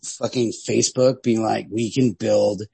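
A man talks books casually as a podcast co-host. His voice is creaky and rough-edged, and he swears freely in an animated manner. The recording is close and dry. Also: on the low side, a little slow, no echo. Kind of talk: casual literary discussion.

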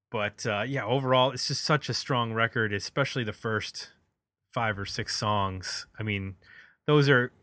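A man's voice: mid-range voice, sharp tone, dry recording. A man hosting a music podcast, chatting casually as he reviews albums.

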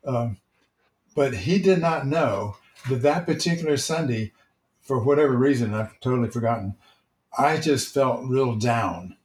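A man who is a church elder, gently telling stories from his church life in casual, reflective talk. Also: medium pitch, a little slow. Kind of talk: casual storytelling. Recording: roomy, echoey sound.

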